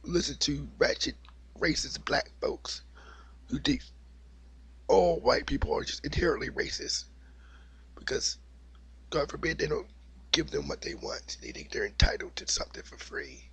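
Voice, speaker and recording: A man with a cold and a slightly raspy voice, giving an opinionated, repetitive monologue as the host of his own video. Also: fairly high pitch, lively intonation, moderate pace, pauses often, a bit bright. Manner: opinionated, preachy, repeats himself. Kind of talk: unscripted monologue vlog.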